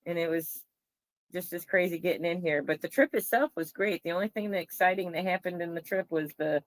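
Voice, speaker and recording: A woman's voice: on the low side, fairly quick, no echo. A woman from the Chicago area with a Chicago accent, chatting casually as a guest on a livestream. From Illinois, Chicago area.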